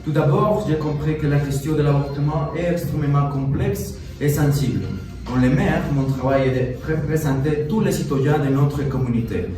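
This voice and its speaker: A man's voice: a little high, moderate pace, noisy background, lightly acted. A man playing a diplomatic, courteous town mayor in an amateur news sketch, speaking formally. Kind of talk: amateur classroom dramatisation of TV news. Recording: very echoey room, distant mic.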